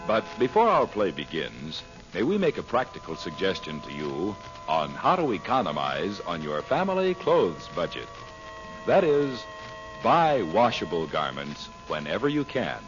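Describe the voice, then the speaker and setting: A man announcing and narrating in a formal, emphatic old-time radio style. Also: low-pitched. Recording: muffled old radio recording. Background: loud music.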